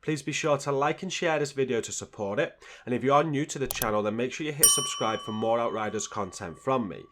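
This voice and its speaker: Guy doing a video game walkthrough voiceover, medium pitch, straightforward and instructional. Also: brisk, fairly bright tone, slight background noise. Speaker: gaming video host. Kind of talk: scripted game guide narration.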